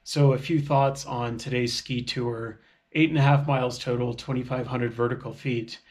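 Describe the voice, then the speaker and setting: A man's voice: medium pitch, dry recording. A middle-aged man talking to a camera held at arm's length, giving plain, matter-of-fact commentary on his backcountry ski tour outdoors in the mountains. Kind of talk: casual narration to a handheld camera. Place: outdoors on snowy mountain slopes. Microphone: action camera held at arm's length.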